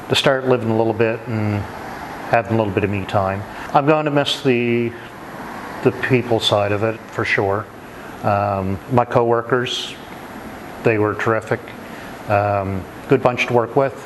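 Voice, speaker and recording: A middle-aged man with a Maritime Canadian accent speaks in a fairly low voice. He answers interview questions reflectively and haltingly, with noticeable noise behind him. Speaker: retiring emergency dispatcher and firefighter.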